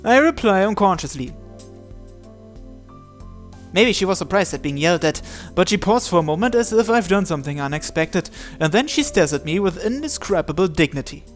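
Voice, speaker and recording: Narrator brief: man, slightly high voice, dramatic first-person story reading for a visual novel, soft music underneath. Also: expressive, normal speed. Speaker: channel host reading visual novel text aloud.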